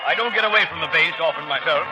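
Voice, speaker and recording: An actor playing a genial, courteous army colonel with hearty 1930s radio delivery. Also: male voice, fairly high pitch, racing, muffled recording, close to an everyday voice. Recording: vintage radio drama with music behind.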